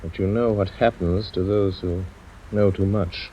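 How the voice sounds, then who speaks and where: An actor playing a commanding, secretive crime fighter in an old-time radio drama, with a measured, urgent authority. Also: male voice, low-pitched, fairly even intonation. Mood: urgent, grave. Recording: dull, noisy old radio recording.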